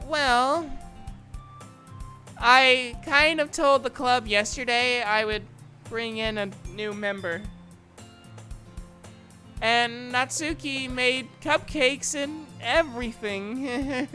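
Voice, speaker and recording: A woman voicing a bubbly, airheaded high-school girl, cheerful and pleading, in a visual novel fan dub. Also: slow, long pauses, sharp tone, faint music behind.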